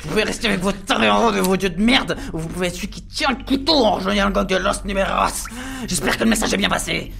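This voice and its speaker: Creaky, manic prison gang boss. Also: male voice, sits high, fast talker, few pauses, sharp tone, a little hiss or hum, exaggerated voice. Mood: swaggering, unhinged. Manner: erratic, swaggering, swearing. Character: manic, menacing, foul-mouthed male prison gang boss and dealer. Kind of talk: French comedic audio drama.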